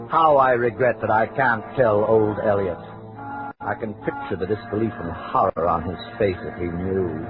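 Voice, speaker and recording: A man's voice: mid-range voice, close to an everyday voice. An actor playing a cold, precise, increasingly tormented scholar who narrates his murder journal in formal, self-important diction in an old radio drama. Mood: smugly elated. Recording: vintage radio, narrow band, music behind.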